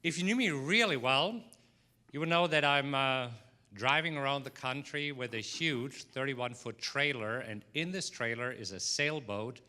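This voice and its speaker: A man's voice: medium pitch, moderate pace, sharp tone. A man with a foreign accent introducing himself informally as a guest speaker on stage. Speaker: guest speaker, trading business owner. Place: stage at a filmed live event.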